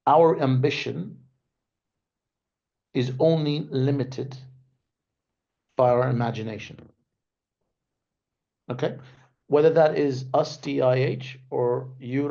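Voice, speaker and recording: A man speaking over a webinar call, his mid-pitched voice carrying a gravelly edge. He talks in a loose, conversational way, often checking in with "right?" and circling back over his points. The audio sounds a little dull, as webinar sound often does. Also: dark tone. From Denmark. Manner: conversational, frequent "right?" tags and restarts. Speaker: guest speaker, tech executive. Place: remote video call. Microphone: video call microphone.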